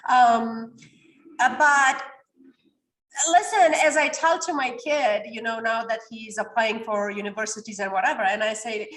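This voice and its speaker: A woman's voice: on the high side, steady tone, unhurried, fairly bright tone, reverberant, poor audio. A woman in her forties from Romania, a coach talking animatedly in a podcast interview and sometimes searching for words. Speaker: guest, coach.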